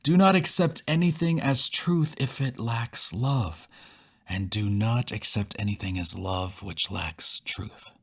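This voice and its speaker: A man reading poetry for an audiobook, solemn and unhurried, sometimes sinking to a whisper. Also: fairly low pitch, gravelly, breathy edge. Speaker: poetry reader.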